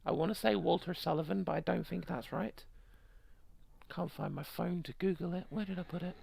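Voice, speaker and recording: A woman's voice: lowish voice, a little fast, vocal fry, warm tone, husky. A woman streaming a game, reading the story aloud with irreverent, sweary, joking commentary. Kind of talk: game playthrough, narration mixed with casual commentary.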